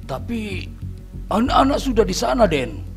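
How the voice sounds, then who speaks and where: A man's voice: high-pitched, big pitch swings, moderate pace, faint music behind, voice pushed up. A man voicing a nervous, superstitious henchman chief in a horror cartoon, stammering and hesitant. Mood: reluctant. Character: timid, superstitious male henchman boss.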